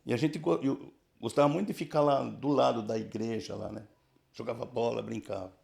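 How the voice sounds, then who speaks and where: Older São man, 60s, gravelly and dark-toned, halting testimony with constant tag questions. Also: mid-range voice, fairly even intonation. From Brazil, São Paulo region. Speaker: church member giving testimony. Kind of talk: casual life-story telling.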